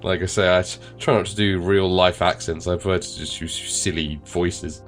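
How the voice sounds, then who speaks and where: Deep-voiced, congested let's play host. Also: male voice, steady tone, normal speed, soft background music. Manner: rambling, with fillers and swearing. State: nasal and congested, recovering from illness. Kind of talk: casual gameplay commentary and reading game text.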